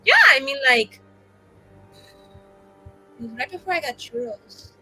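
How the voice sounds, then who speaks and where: A woman's voice: sits high, lively intonation, slow, pushed and bright, slightly rough, soft background music, full character voice, poor audio. A woman playing a chatty, earnest fantasy adventurer in improvised tabletop banter, rambling as she gossips. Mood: chatty, musing. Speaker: tabletop roleplay player.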